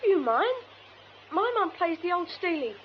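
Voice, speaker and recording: High-voiced cheeky boy. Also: very animated, normal speed, lo-fi sound, slight background noise. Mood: puzzled, indignant. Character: cheeky young boy, streetwise, drowsy in a hospital bed. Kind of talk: radio drama acting.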